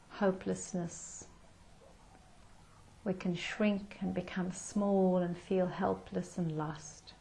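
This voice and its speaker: A woman leading a guided meditation in a gentle, soothing manner with unhurried pauses. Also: fairly low pitch, vocal fry, a little hiss or hum.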